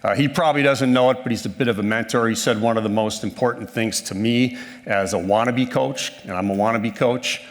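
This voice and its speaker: A man's voice: medium pitch, a little fast, hardly any pauses. A man in his fifties from northern Minnesota giving a folksy, self-deprecating conference talk on a handheld microphone, with frequent ums and restarts. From northern Minnesota, USA. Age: 50s. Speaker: conference speaker. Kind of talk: conversational presentation. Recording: reverberant hall sound.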